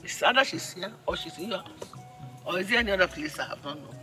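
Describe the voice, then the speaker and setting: A woman's voice: on the low side, expressive, quick pace, bright, forceful tone, faint music behind. An actress playing an irritable, sarcastic older village mother with a Nigerian accent, scolding in rhetorical questions in a film drama. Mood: exasperated. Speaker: actor in a film. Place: outdoor yard with trees.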